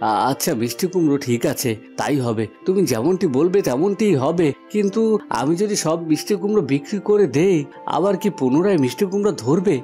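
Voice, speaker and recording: A man's voice: a little high, animated, fairly quick, warm tone, faint music behind, natural voice. A man voicing a humble, good-natured young village son, earnest and obliging, in a cartoon fairy tale. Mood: amazed.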